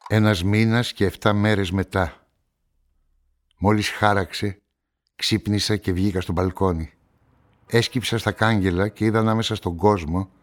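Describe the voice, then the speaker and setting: An actor playing a brooding, lovelorn narrator of a radio crime story, measured and reflective. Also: male voice, fairly low pitch, close to an everyday voice. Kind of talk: scripted first-person radio drama narration.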